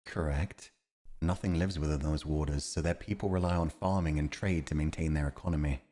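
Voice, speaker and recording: A man's voice: rumbling low, steady tone, brisk, warm tone, slight background noise. A man acting a principled, earnest army commander advising a monarch in a fantasy audio roleplay, speaking in a formal, emphatic, righteous way. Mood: skeptical.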